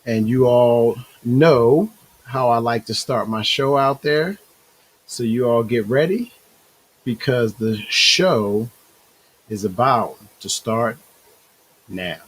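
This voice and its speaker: A hoarse man hosting a talk show, talking in a halting way with many fillers and self-corrections. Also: medium pitch, rough recording.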